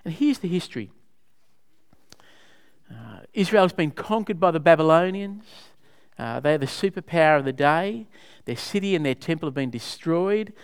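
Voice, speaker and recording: Man teaching a Bible study, clear voice. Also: fairly high pitch, expressive, moderate pace. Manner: questioning, conversational, explains to a group.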